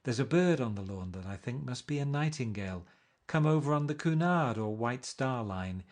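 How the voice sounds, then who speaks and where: Brief: man reading aloud as a breathless young society lady, high and sing-song, gushing. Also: animated, normal speed, natural voice. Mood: bright.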